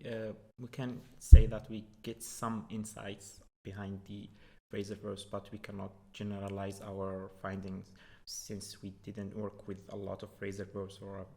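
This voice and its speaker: A young man with a Moroccan accent, a native Arabic speaker, explaining his research in a halting, hesitant way as an interview guest. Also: on the low side, vocal fry, a little mellow. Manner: hesitant, halting, with repeated words. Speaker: doctoral student guest.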